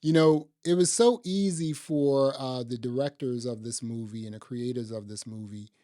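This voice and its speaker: A man's voice: mid-range voice, normal speed, warm tone, no room sound, clean studio sound. A man co-hosting a podcast, reviewing films in casual, slangy talk and often checking for agreement. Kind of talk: casual film review chat.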